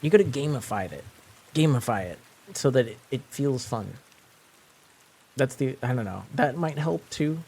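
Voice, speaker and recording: A man's voice: mid-range voice, expressive, normal speed, pauses often, darkish tone, breathy edge. A young man whose first language is French, chatting casually on a live stream, rambling with lots of fillers and restarts. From French-speaking.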